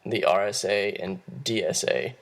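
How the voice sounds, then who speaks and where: A hoarse young man, losing his voice, giving a class presentation and explaining steps in a halting way with frequent restarts. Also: on the low side. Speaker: student presenter.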